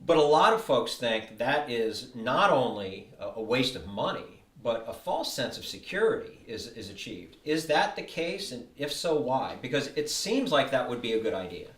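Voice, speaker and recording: A man's voice: mid-range voice, sing-song, normal speed, hardly any pauses. A man speaking as an interviewer, posing questions in a conversational interview style. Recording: roomy echo, distant mic, faint music.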